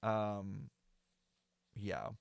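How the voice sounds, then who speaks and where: A man giving casual, rambling reaction commentary in a chatty, slangy, excitable way. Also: on the low side, extremely slow, vocal fry. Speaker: reaction streamer.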